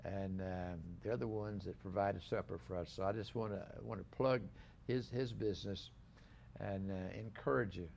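An older man hosting a relaxed studio interview, talking in a rambling, halting way with many restarts. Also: lowish voice, gravelly, slight background noise. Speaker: host. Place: small living-room studio set.

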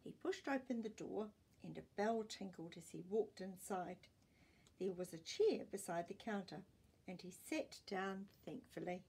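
Husky, animated older woman reading a storybook. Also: mid-range voice. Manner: expressive storytelling, pausing to ask listeners questions. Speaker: children's storytime reader. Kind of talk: picture book read-aloud narration. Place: small indoor room with bookshelves.

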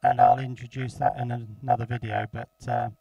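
Male hobbyist presenter, casual and halting, thinking aloud, mid-pitched, very dry recording. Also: flat delivery, mellow, no echo, dull audio, faint music behind. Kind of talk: casual hands-on demonstration. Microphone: close handheld recorder microphone.

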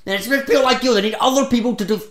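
A man's voice: a little high, normal speed. Short-tempered superhero played by a man, frustrated and indignant, swooping intonation, roomy echo, comic sketch. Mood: indignant ranting. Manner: ranting, indignant, hesitant when calm. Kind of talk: comedy sketch storytelling. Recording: echoey room.

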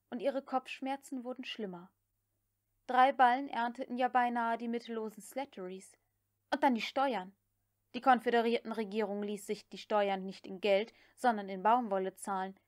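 A woman reading a novel aloud as an audiobook narrator, in scripted literary narration. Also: mid-range voice.

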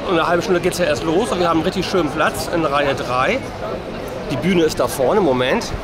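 A man's voice: on the high side, a little fast. A man, chatting casually as a vlogger at arm's length from the camera. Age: 40s. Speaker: vlogger dad. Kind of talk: casual vlog chat. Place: large crowded halls, foyer and arena. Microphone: action camera at arm's length. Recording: noisy public venue, busy crowd chatter.

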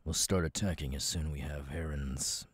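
A man voicing a stern, weary, guarded military commander in an ASMR audio roleplay, husky and brooding. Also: extremely low pitch, fairly even intonation, moderate pace, no room sound, studio quality, full character voice. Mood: businesslike. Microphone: close, dry microphone.

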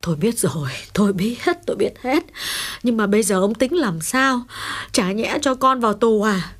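A woman's voice: medium pitch, expressive, moderate pace, husky. A woman voicing a fretful middle-aged wife and mother who pleads and sighs in an audio story. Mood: pleading, distressed. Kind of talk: audio story character dialogue.

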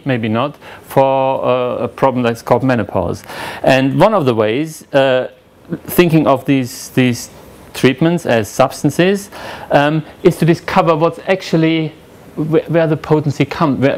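A man with a German accent giving an academic lecture at a lectern in a lecture room, talking conversationally and questioning the audience. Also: medium pitch. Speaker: conference speaker.

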